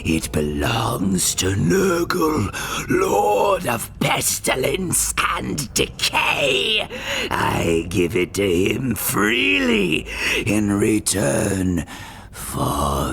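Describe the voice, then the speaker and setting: An actor playing a fanatical human champion of Chaos in a fantasy video game, ranting theatrically with a harsh, gravelly voice. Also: male voice, fairly low pitch, huge pitch swings, fairly bright tone, slight background noise, exaggerated voice. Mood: mocking, defiant. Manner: bombastic, ranting, drawn-out theatrical delivery. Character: fanatical male human champion of Chaos and plague-god worshipper.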